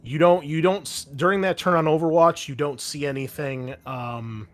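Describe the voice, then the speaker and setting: A man's voice: a little high, fairly even intonation, normal speed. A man running a tabletop roleplaying game as host, shifting between conversational rulings and dramatic descriptive narration.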